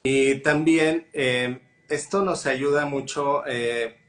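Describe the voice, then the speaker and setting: A man's voice: mid-range voice, steady tone, takes it slowly, fairly bright tone. A man hosting a live-stream interview, friendly and appreciative, using casual fillers. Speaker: host.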